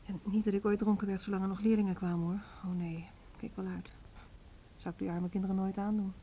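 Mellow-voiced, weary alcoholic woman played by an actress. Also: mid-range voice, flat delivery, fast, a little hiss or hum, close to an everyday voice. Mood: wry, candid. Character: weary female alcoholic music teacher, frankly confessing. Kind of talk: naturalistic Dutch radio drama acting.

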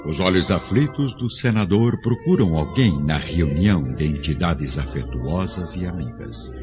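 Low-voiced man narrating a radio play, serious, loud music under, muffled sound. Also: animated. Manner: grave, measured storytelling. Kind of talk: scripted radio drama narration. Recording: dull lo-fi audio with strong music.

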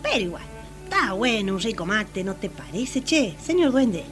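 A woman's voice: medium pitch, big pitch swings, moderate pace, natural voice. An Argentine woman voicing an easygoing rustic fantasy local with a folksy, colloquial manner in a radio play. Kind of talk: radio comedy acting. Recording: radio play with faint music.

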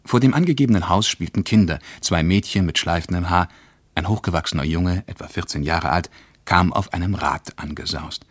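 A male actor playing a reflective traveller who reads his travel diary aloud as scripted radio narration, in a measured, literary manner. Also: low-pitched, no echo.

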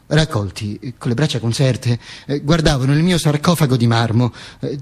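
A man telling a theatrical monologue as an actor, halting, repeating words and stressing them emphatically. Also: medium pitch, slightly rough.

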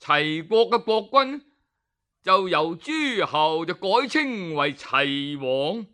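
A man with a Hong Kong Cantonese accent telling a traditional story, animated and dramatic, with interjections and pauses. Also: high voice.

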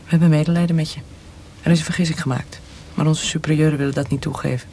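An actor playing a cold, insistent military interrogator in a radio play, with a hushed, breathy, measured voice. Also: male voice, fairly high pitch, fairly even intonation, a little hiss or hum, lightly acted. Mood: persuasive. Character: cold, pressuring military interrogator offering a mercy death.

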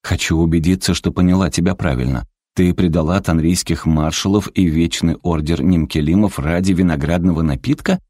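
A man's voice: sits low, brisk, a little mellow, dry recording, studio quality. A man reading the part of a tough, protective woman marshal in an audiobook, blunt and incredulous. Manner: blunt, challenging.

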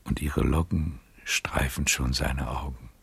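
Gravelly, very deep old Casanova. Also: male voice, fairly even intonation, fairly bright tone, no room sound, heavily acted. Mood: hushed, spellbound. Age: older. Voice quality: husky, gravelly, breathy murmur. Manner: slow, halting, savouring storytelling. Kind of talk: intimate radio drama acting.

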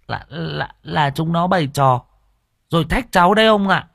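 Brief: terrified small boy, shrill high wailing pleas. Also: animated, normal speed, gravelly, fairly bright tone, heavily acted. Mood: scared. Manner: wailing, begging. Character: frightened young boy pleading, crying.

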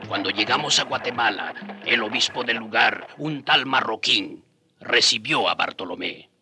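An actor playing a friar's companion, recalling their journeys as a measured radio drama storyteller. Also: male voice, lowish voice, bright, forceful tone, slightly rough, faint music behind, heavily acted. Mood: reflective.

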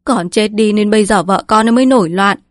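A woman's voice: lowish voice, fairly even intonation, moderate pace, darkish tone, no room sound, studio quality, close to an everyday voice. A woman voicing a dying old village patriarch, bitter and sentimental, who laments and scolds in a weakened voice. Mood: anguished. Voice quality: weak, breathy, trembling delivery.

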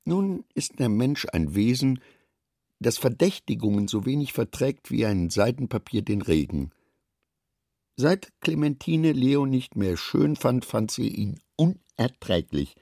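A man narrates a literary radio play from a script. His voice is husky and gravelly, recorded close and dry. He reads long sentences in a measured way, with lively, expressive intonation. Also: mid-range voice, dry recording.

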